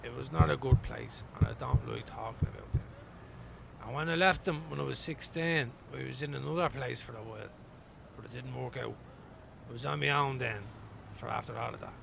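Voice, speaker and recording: A man acting an amiable middle-aged homeless Dubliner in a radio play, with a gravelly voice and halting, rambling manner. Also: medium pitch, noisy background. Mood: guarded, resigned. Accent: Dublin Irish. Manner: halting, rambling, good-natured.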